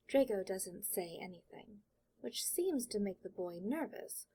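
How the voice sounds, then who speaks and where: A woman's voice: medium pitch, a little mellow, husky. A woman reading scripted fiction as an audiobook narrator, telling the story in present tense.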